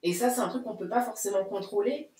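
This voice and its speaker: A woman's voice: medium pitch, fast, darkish tone. A woman in her late twenties, a guest in a casual discussion, who talks reflectively in long runs. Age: late 20s. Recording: roomy echo.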